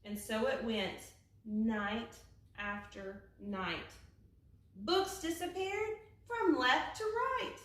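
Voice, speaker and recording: A woman reading a children's picture book aloud like a teacher at storytime, with dramatic hushed and raised phrases. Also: a little high, animated, extremely slow. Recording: echoey room, distant from the mic.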